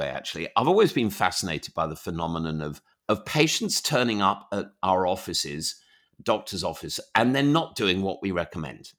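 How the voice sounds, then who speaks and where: A middle-aged man hosting a conversational interview podcast, engaged and expressive in how he puts his questions. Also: low-pitched.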